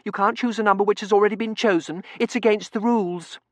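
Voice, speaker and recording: A man's voice: high voice, steady tone, quick pace, dry recording, voice pushed up, lightly acted. A man voicing a bossy, whiny, self-righteous little Victorian girl in an audiobook. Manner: wailing, insistent, self-righteous. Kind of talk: audiobook character acting.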